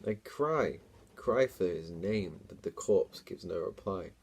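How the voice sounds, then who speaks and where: A man's voice: low voice, lively intonation, slow pace, gravelly, a little mellow, slightly rough. A man hosting a dramatic story reading, mixed with amused, informal comic commentary. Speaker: host reading his own childhood story.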